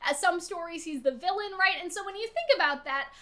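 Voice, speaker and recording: A woman's voice: very high voice, quick pace, a bit bright. A woman giving casual, opinionated story commentary, emphatic and exasperated, swearing freely and often checking in with the listener. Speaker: vlogger, story analyst.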